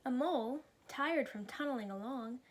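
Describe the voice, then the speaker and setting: High-voiced woman reading a children's story. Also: normal speed. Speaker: storybook reader. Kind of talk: children's story read aloud.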